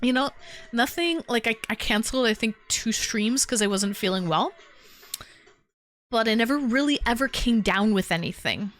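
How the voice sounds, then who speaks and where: A young woman hosts a livestream from home, rambling easily as she answers comments from her chat. Her voice sits a little high and sounds slightly stuffy from a lingering cold. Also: expressive, normal speed, a bit bright. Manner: chatty, rambling, talks straight to chat viewers. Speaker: livestream host. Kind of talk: casual chat reading viewer comments.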